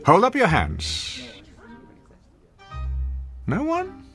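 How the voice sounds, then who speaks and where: Pompous, sardonic, swooping party host. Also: male voice, very high-pitched, wildly swooping pitch, very slow, a bit bright, soft background music, exaggerated voice. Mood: commanding, sly. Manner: pompous, arch, mock-courteous. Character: sardonic, theatrical male party host with cruel wit. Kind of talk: radio drama acting.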